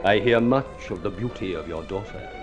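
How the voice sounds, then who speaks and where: An actor playing a proud, suave Englishman with a grand, deliberate, theatrical delivery in an old radio drama. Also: on the low side, close to an everyday voice. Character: ugly, masterful, silver-tongued Englishman, suave and proud. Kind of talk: 1950s radio drama acting and narration. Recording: old radio recording with music.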